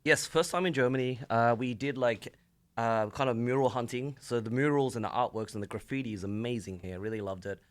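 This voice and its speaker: A man's voice: mid-range voice, fairly quick. A man with an Australian accent chatting casually as a podcast guest, in a joking, friendly manner. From Sydney, Australia. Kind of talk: casual chat.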